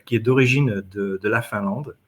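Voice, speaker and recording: A man who is not a native French speaker, a company manager answering interview questions at a trade show, halting with many fillers and restarts. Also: fairly low pitch. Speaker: company country manager, guest.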